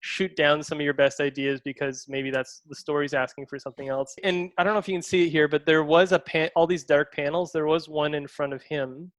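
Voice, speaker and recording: Male director, behind-the-scenes commentary, slightly high voice, dry close sound. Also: fairly even intonation, brisk, few pauses, fairly bright tone, no echo. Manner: enthusiastic, explains choices, frequent fillers.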